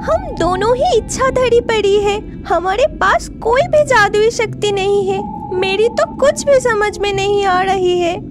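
A woman voicing a gentle, sensible young fairy mermaid in a children's cartoon, in an earnest, girlish, deliberate way. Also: very high-pitched, expressive, loud music behind the voice. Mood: worried.

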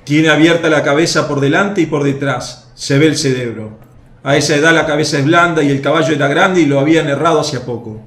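A man's voice: fairly high pitch, fairly quick, reverberant, lightly acted. A man playing a furious Roman soldier. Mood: urgent. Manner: shouting, insulting, urgent. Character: angry Roman soldier, rough and desperate. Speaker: Alejandro. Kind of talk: radio drama acting.

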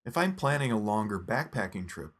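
A man narrating a scripted phone app tutorial in a steady, instructive step-by-step voice. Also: fairly low pitch, fairly quick.

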